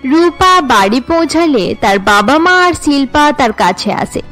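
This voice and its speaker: A woman narrates a cartoon story from a script. Her voice sits high, and faint music plays behind it. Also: big pitch swings, measured pace, a bit bright.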